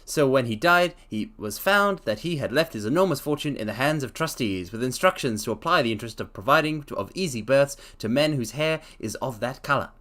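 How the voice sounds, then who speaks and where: A man's voice: medium pitch, lively intonation, fairly quick, hardly any pauses, sharp tone. A man voicing an eager young shop assistant who talks his employer into something in a coaxing way.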